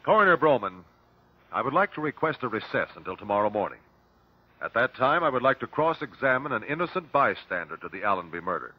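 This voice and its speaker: Brisk formal investigator grilling witnesses, husky, lively pitch, dull old radio recording. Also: male voice. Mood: probing, formal. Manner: formal, probing, clipped questions. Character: brisk, persistent male inquest examiner. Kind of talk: old-time radio drama acting.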